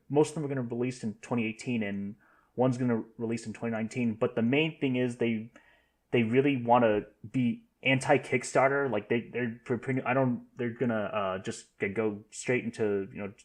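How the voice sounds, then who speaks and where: A man hosting a podcast, casually going through news in a halting way, often correcting himself. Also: mid-range voice. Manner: halting, self-correcting delivery. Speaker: podcast host.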